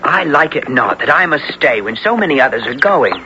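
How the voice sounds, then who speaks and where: Bold young squire, earnest, higher-pitched and lively, vintage radio adventure play. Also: male voice, brisk, over music. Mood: protesting. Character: eager, bold young English nobleman's ward, medieval swordsman. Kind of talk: 1970s radio drama acting. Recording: dull, faintly noisy vintage radio recording.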